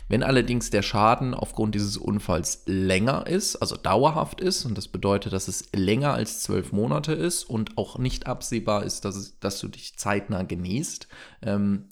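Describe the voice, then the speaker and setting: A man's voice: on the low side, normal speed. A man co-hosting a podcast, explaining things in a chatty way with many fillers and jokey asides. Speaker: podcast co-host. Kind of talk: casual explanatory chat.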